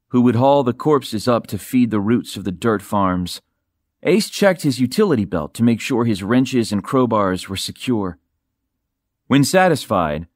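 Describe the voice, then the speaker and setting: A man's voice: mid-range voice, darkish tone, dry recording, studio quality. A man reading dramatic fiction as a scripted audiobook narrator.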